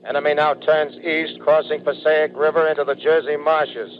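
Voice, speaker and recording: A man's voice: fairly high pitch, level tone, moderate pace, close to an everyday voice. A terse army bomber pilot, voiced by a man radios clipped reports in a slightly raised voice. It comes through dull and hissy, like a 1930s broadcast. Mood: grim. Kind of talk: 1930s radio drama acting.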